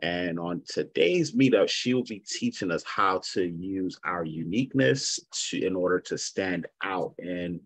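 A man hosting an online meetup, introducing the guest speaker in a halting way and restarting his phrases. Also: low voice, lively intonation, dry recording. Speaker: meetup host. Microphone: video call webcam.

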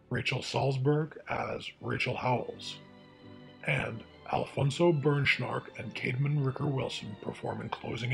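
A man's voice: mid-range voice, creaky. A man reading a scripted radio introduction in a formal, presentational announcer's manner.

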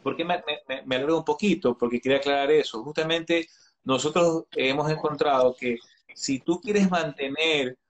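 A man in his thirties talking earnestly as a guest in an online interview, advising listeners with frequent restarts and hesitations, heard through a video call. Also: medium pitch. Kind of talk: earnest live-stream conversation. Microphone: video call webcam.

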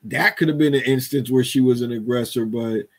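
Husky-voiced man chatting casually. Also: mid-range voice, a little fast. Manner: slangy, often adds "you feel me" and "man". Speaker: co-host of a news commentary show. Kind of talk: casual unscripted chat. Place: home room on a video call. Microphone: headset.

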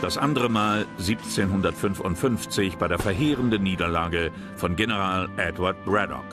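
A man's voice: low voice, normal speed. A man reading scripted historical documentary narration. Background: music.

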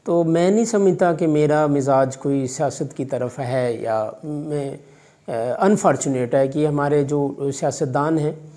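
A middle-aged Pakistani college vice principal with a Pakistani Urdu accent, answering interview questions in a measured, fatherly way with religious phrasing and hesitant fillers, recorded in an office by a distant camera. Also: on the high side, warm tone. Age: 50s. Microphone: far camera.

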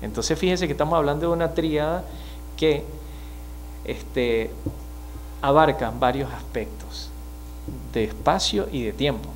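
A man's voice: fairly high pitch, steady tone, a little hiss or hum, rough recording. Venezuelan man explaining at a studio desk. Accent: Venezuelan Spanish. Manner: measured, explanatory, frequent hesitations. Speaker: criminologist guest. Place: small TV studio. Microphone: mic on desk stand.

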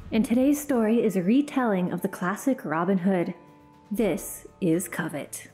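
A woman reads a fantasy story aloud as a podcast host, dropping to a hush when the tension rises. Her voice is dark and mellow, and faint music plays behind her. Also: medium pitch. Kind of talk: dramatic story reading.

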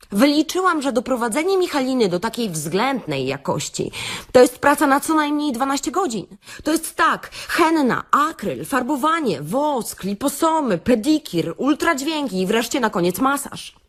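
A woman's voice: high voice, very animated, moderate pace. A woman playing a brash, sarcastic beautician in a satirical radio-play monologue, theatrical and full of rhetorical questions to her listeners. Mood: exasperated. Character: brash, sarcastic beautician delivering a comic self-help rant. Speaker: actress reading a comic monologue.

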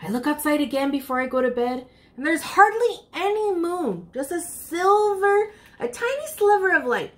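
A woman's voice: extremely high pitch, big pitch swings, normal speed. A woman in her thirties reading a picture book aloud expressively and speaking directly to children. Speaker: library storytime reader. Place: furnished room indoors.

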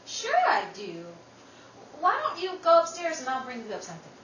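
A woman playing a caring, excitable sister with emphatic delivery in an old-time radio drama. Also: extremely high pitch, normal speed, exaggerated voice. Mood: helpful. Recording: roomy, distant, faintly noisy recording.

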